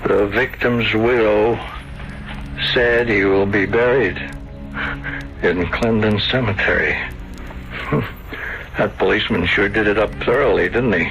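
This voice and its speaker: Bemused man, low voice, drily amused, vintage radio play, dull sound. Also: a little slow, pauses often, a bit bright. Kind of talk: 1970s radio drama acting. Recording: muffled vintage radio, faint music.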